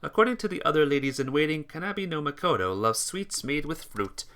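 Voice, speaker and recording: A man's voice: fairly high pitch, lively intonation, brisk, a bit bright. A man reading a scripted story aloud as narrator, with the occasional small stumble. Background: instrumental music.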